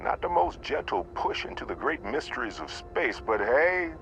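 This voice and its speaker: An actor playing a breezy, wry space explorer in a video game, with a playful, teasing delivery. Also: male voice, medium pitch, big pitch swings, normal speed, soft background music. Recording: dull sound, loud aircraft-like noise behind.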